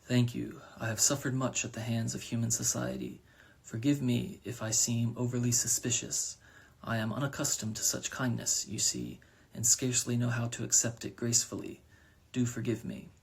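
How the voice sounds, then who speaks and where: A man's voice: mid-range voice, flat delivery, normal speed, vocal fry, husky. A man voicing a timid, stiffly formal, apologetic man who has become a crow. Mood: flustered.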